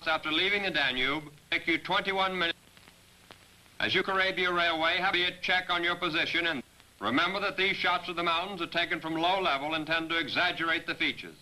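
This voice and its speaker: A man narrating a scripted military training film as a briefing, in a measured, directive manner, on a 1940s film soundtrack. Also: fairly high pitch, pushed and bright, rough recording. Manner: measured, directive, addressing aircrews. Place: voice-over recording for a 1943 film.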